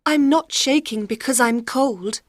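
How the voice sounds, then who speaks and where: A woman acts a troubled young gentlewoman in a storybook mystery. Her voice is fairly high, earnest and carefully enunciated. The sound is close and dry. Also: measured pace, dry recording. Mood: frightened. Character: frightened, desperate young gentlewoman of about thirty. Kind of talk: dramatised audiobook reading.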